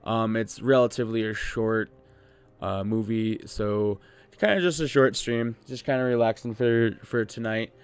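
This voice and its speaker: A man's voice: on the low side, moderate pace, smooth, soft background music. A man hosting a livestream, talking informally and a bit ramblingly while thanking his viewers. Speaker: live streamer, host. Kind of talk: casual livestream chat intro.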